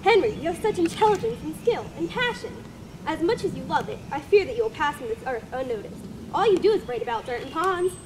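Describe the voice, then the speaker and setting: A woman's voice: sits high, expressive, fast, noisy background. A woman reading the part of a wry man who teases and mocks his hermit friend. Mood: lecturing. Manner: teasing, mocking and wry. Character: teasing man, worldly city friend and critic. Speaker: reader in a dramatic reading.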